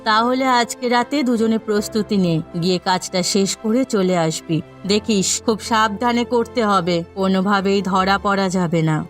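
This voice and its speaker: A woman's voice: mid-range voice, moderate pace, faint music behind, close to an everyday voice. A woman acting a bossy, calculating rich lady who runs a band of thieves in a cartoon story. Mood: stern, cautioning. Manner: commanding, calculating.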